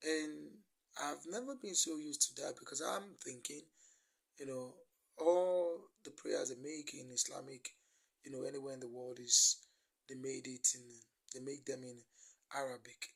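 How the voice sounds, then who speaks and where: A man's voice: mid-range voice, steady tone, normal speed, gravelly, a bit bright. A middle-aged Nigerian-accented man, a native Yoruba speaker, preaching informally off the cuff in a rambling, repetitive manner. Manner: rambling, repetitive, often saying "you know". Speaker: lay preacher livestreaming to followers.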